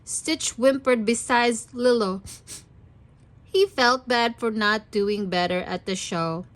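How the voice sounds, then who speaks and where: Female storyteller for small kids, expressive and unhurried, on the higher side. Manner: expressive, addressing young children. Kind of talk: children's read-aloud narration.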